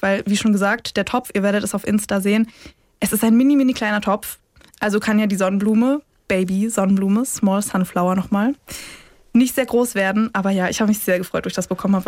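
A woman's voice: mid-range voice, darkish tone, no echo. A young woman speaking in a chatty, informal way as a podcast co-host, dropping in English slang. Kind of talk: casual banter between co-hosts.

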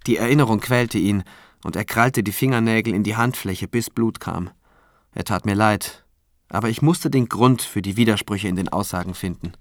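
A man playing a dogged prosecutor in a radio drama, measured when narrating and insistent when questioning. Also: on the low side, no room sound, clean studio sound, lightly acted. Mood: measured, wry. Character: dogged male prosecutor narrating his own investigation.

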